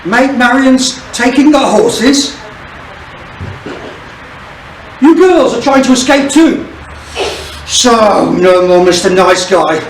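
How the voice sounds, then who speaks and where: A man's voice: very high-pitched, expressive, slow, exaggerated voice. A man playing a scheming, mocking sheriff villain in theatrical pantomime style. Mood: gloating menace. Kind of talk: stage pantomime acting. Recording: echoey room, distant mic, hiss.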